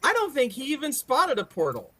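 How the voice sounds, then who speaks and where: A man in casual, animated banter as a panelist at a fan discussion. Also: very high voice, a little fast, a crowd in the background.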